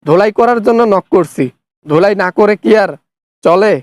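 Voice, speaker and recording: A man's voice: high-pitched, moderate pace, no room sound, studio quality. A man with a Bangladeshi accent hosting a satirical cartoon skit, speaking in a scolding, sarcastic way. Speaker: parody channel host, accuser in skit.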